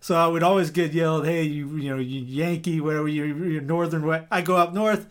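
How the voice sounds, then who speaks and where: A talkative man hosting a relaxed interview, his voice sitting a bit higher than most men's. He jokes and drifts into long stories. The tone is easygoing and conversational. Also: a little fast. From South Carolina, US. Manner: chatty, joking, rambling storyteller. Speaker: host. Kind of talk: casual podcast interview.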